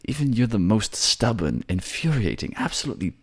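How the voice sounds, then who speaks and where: A man's voice: on the low side, very animated, brisk, slightly rough, voice pushed up, exaggerated voice. A man voicing an empathetic, teary merman advisor who is tender, earnest and fussy, in a fantasy audio drama. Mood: tearful exasperation.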